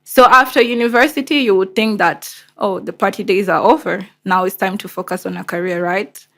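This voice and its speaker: A woman's voice: medium pitch, brisk, sharp tone. A woman with a Rwandan accent and Kinyarwanda as first language, hosting and telling a personal story in a candid, conversational, emphatic way. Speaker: show host.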